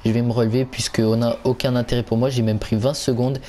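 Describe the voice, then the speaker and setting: A man's voice: mid-range voice, flat delivery, fast, mellow. A man giving casual commentary on a video game, hesitant and stumbling, often repeating himself. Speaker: gaming channel host.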